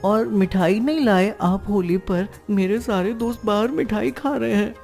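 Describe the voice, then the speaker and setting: Woman doing a little poor kid boy, slow talking, eager n whiny-demanding, cartoon story, faint music. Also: medium pitch, gravelly, darkish tone. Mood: pleading.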